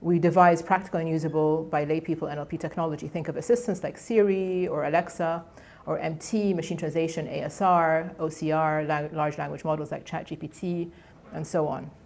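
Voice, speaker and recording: A woman's voice: sits low, fairly even intonation, brisk, a little mellow. A middle-aged woman whose first language is Egyptian Arabic gives a conversational academic lecture from a podium, often restarting her phrases. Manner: conversational, with frequent restarts and fillers. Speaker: guest lecturer. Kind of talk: academic colloquium talk. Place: lecture hall.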